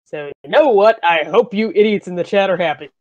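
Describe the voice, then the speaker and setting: A woman's voice: mid-range voice, a little fast, a little mellow. A woman hosting a live stream in casual chat, with a teasing, mock-scolding way of talking. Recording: dull, lo-fi microphone.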